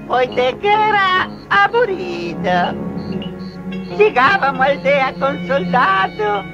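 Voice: very high voice, lo-fi sound, heavily acted. A performer playing a simple, rambling character who repeats broken phrases in a 1970s film. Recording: old film soundtrack under loud music.

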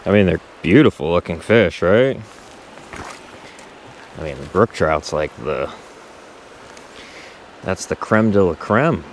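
A man with a fairly low voice films his own fishing trip outdoors and talks slowly to the camera. His pitch swings widely between mumbled asides and eager exclamations, with faint water and boat noise behind him. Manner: relaxed, mutters to himself, excited bursts when a fish bites. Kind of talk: casual self-narrated fishing vlog. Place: outdoors by a brook from a small boat.

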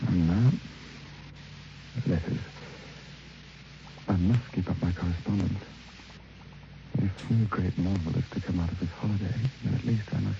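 A man's voice: low voice, fairly even intonation, a little slow, gravelly, pauses often, music in the background. An actor playing a nervous, polite man in an old radio drama, with a hesitant, musing manner. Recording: hissy, dull old radio recording.

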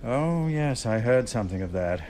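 Shrewd, animated Roman official played by a man. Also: mid-range voice, normal speed, a little hiss or hum, lightly acted. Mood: probing. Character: shrewd, commanding male Roman tribune. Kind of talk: radio drama acting.

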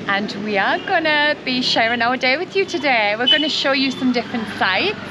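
Woman travel vlogger, very high, lively voice, heavy traffic noise behind. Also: a little fast. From England. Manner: chatty, friendly, enthusiastic. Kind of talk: casual travel vlog chat. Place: busy city street outdoors. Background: loud traffic noise.

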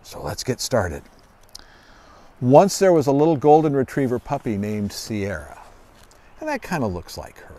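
A middle-aged man reading a children's storybook aloud outdoors in a deliberate, expressive way. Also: medium pitch, a little hiss or hum. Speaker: guest author reading own children's book. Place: outdoors on a grassy lawn by trees.

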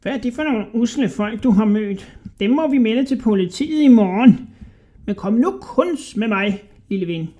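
A reader voicing a sly rat posing as a small pale man, with a squeaky, piping voice and fawning, hesitant speech. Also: animated, warm tone, natural voice. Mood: wheedling. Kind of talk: storybook reading.

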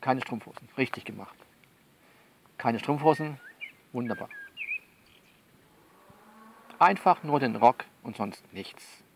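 A man's voice: medium pitch, animated, measured pace, gravelly, noisy background. A man in a conversational, improvised one-sided monologue, coaxing and bossing a listener along and often repeating himself. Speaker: performer of his own audio novel.

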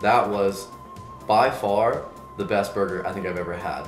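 A young man in his twenties talks in an easygoing, chatty way. His voice sits a little low. The room echoes around him. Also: normal speed, faint music behind. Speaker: exchange student. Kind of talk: interview answers.

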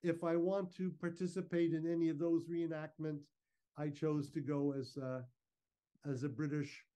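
Guy explaining his slides on a video call, soft mellow tone, voice a bit on the high side. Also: flat delivery, moderate pace, smooth. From Canada. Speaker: guest speaker, historical reenactor. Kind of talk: informal slide presentation. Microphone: video call microphone.

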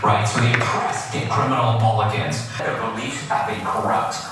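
A man's voice: on the low side, fairly even intonation, moderate pace. A man giving opinionated political commentary, as on a television show. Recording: echoey distant playback with music.

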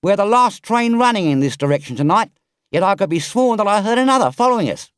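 A man's voice: high voice, expressive, quick pace, clear, resonant tone, no room sound, clean studio sound, pitched above the performer's own voice. A man voicing a stern but kind-hearted male engine driver, speaking earnestly in a storybook reading. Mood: alarmed. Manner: earnest, urgent.

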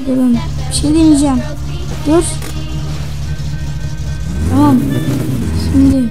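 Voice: high voice, fairly even intonation, takes it slowly, vocal fry, over music. A gaming video host giving casual, chatty commentary, often repeating words over and over. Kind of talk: casual let's-play commentary.

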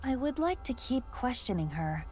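Composed female AI investigator, high, lively voice. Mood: composed, probing. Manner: precise, measured, questioning. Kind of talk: video game voice acting. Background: video game music.

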